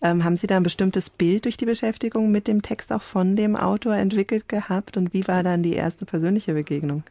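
A woman's voice: mid-range voice, fairly quick, few pauses, warm tone, dry recording. A woman moderating a radio interview, mixing prepared introductions with conversational questions.

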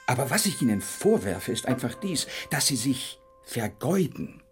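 Glib, self-important bohemian schemer played by a man, medium-pitched, pompous and lecturing, radio drama with music under. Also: a little mellow, lightly acted. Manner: pompous, affectedly educated, lecturing. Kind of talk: radio play acting.

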